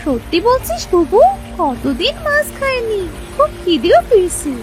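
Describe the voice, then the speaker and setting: A woman's voice: very high voice, sing-song, measured pace, faint music behind, voice pushed up, full character voice. A woman voicing a restless, scrappy village girl with a whiny, childlike manner for a cartoon. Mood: pleading, eager. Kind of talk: Bengali cartoon voice acting.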